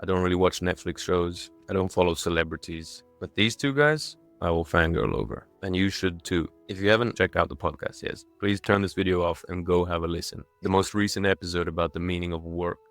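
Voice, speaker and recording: A young man in his twenties speaks in a low-pitched voice, with faint music underneath. He narrates a personal video from a script, with a lightly self-mocking, tongue-in-cheek touch. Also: brisk, few pauses. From born and raised in Dubai in a Croatian family, moved to England as a child. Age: 20s. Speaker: video creator.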